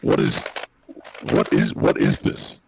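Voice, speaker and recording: A man's voice: slow, very noisy, close to an everyday voice. A man voicing a dry, skeptical male robot co-host who takes things literally, in a comedic in-character podcast. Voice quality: very deep gravelly voice.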